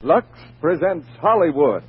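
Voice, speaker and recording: A man's voice: fairly high pitch, sing-song, unhurried. American male announcer, vintage radio style, big projected delivery, music underneath, muffled band-limited sound. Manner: projected, declamatory. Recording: muffled 1940s radio recording. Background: theme music.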